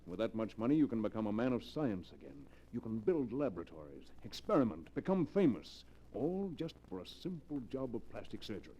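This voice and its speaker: An actor playing a calculating, self-assured millionaire fugitive in 1940s radio style, brisk and businesslike. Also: male voice, lowish voice, very animated, mellow. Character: commanding, calculating millionaire fugitive with a hot temper. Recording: muffled 1940s radio drama recording.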